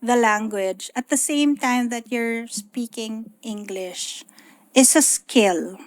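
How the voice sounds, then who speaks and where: A woman's voice: a little high, slow. A woman in her thirties with a Filipino accent, a guest talking thoughtfully in English mixed with Tagalog. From Philippines. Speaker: guest, economist. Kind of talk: casual chat.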